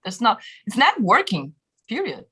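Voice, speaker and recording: A woman with a Brazilian accent talks through a video call from a room at home. Her voice is bright and forward, her speech loose and chatty, full of fillers and easy laughter. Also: a little high, moderate pace, husky. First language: Portuguese. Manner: animated, laughs often, many fillers. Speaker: guest musician. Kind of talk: casual interview chat. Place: bedroom home studio, indoors. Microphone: webcam video call.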